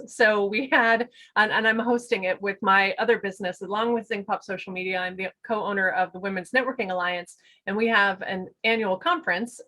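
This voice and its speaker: A woman's voice: medium pitch, pushed and bright. Chatty woman on a video call. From United States. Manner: chatty, laughs often, many fillers. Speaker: guest, business owner. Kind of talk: casual interview talk.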